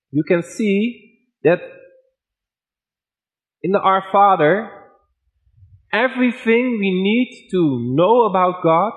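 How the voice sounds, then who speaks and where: A male preacher speaking English with a Dutch accent, his voice sitting a little higher than most men's. He delivers his sermon slowly and deliberately, keeping his words simple and clear. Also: rough recording. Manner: plain simple wording, measured delivery. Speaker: guest preacher.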